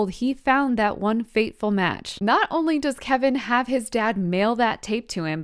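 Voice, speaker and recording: Female vlog host, clean smooth voice at a middle pitch, effusive and informal commentary.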